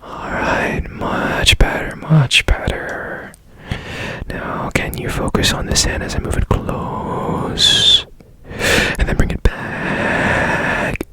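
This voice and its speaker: A man playing a strange, curious repairman in a whispered ASMR roleplay, breathy and gently reassuring with repeated soft phrases. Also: slow. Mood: soothing, focused. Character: strange, curious, soothing male repairman of broken machines. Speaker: ASMR performer.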